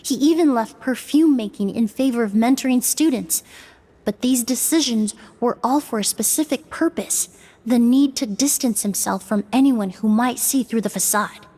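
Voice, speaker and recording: An actress playing a composed, analytical young woman investigator in a fantasy video game, speaking in a formal, measured, articulate way. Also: on the high side, mellow, natural voice. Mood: grave, explanatory. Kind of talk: scripted video game voice acting.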